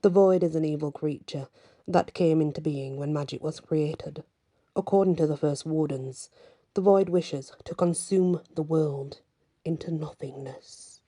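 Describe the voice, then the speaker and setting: A woman voicing a blunt, cryptic male magical mentor in a storybook reading, with measured, grave statements. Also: low-pitched, warm tone. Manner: measured, speaks in riddling statements.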